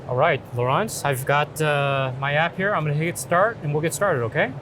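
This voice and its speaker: Male doctor, slightly high voice. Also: normal speed. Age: middle-aged. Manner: reassuring, explanatory. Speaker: doctor in a mock patient consultation. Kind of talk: conversational clinical consultation. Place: open booth in large indoor exhibition hall. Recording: noisy trade show hall background.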